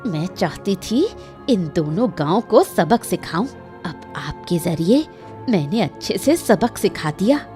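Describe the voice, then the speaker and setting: A woman's voice: on the low side, very animated, slow pace, dark tone, soft background music. A woman voicing a vengeful old village villainess in a cartoon story, speaking in a gloating, scheming way. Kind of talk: Hindi moral-story cartoon voice acting.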